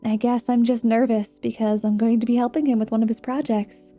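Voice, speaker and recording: A sweet, nervous young student mage chatters happily in a message, as a woman puts her on. Her voice sits fairly high yet mellow, eager and giggly. Soft music plays faintly behind her. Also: level tone, brisk, natural voice. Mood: chatty. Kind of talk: ASMR roleplay.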